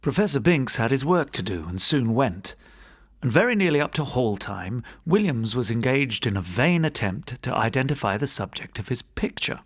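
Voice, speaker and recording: A man reads a classic ghost story as an audiobook narrator. His pitch moves in a lively way across a middle register, while the delivery stays measured and literary, like formal storytelling. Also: breathy edge.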